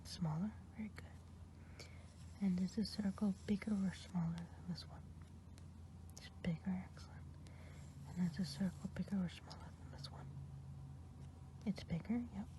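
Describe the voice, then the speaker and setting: A woman's voice: mid-range voice, steady tone, moderate pace, long pauses, lightly acted. A woman whispering as a kindly good witch. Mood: gentle. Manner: soft whispering, patient and encouraging. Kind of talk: ASMR roleplay.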